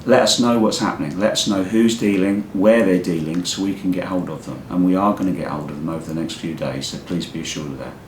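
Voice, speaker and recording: A hoarse man with a croaky voice from a cold, a local police commander giving an earnest off-the-cuff community update. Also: quick pace, hardly any pauses. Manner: plain, earnest, repeats words while speaking off the cuff. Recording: echoey room with faint rumble.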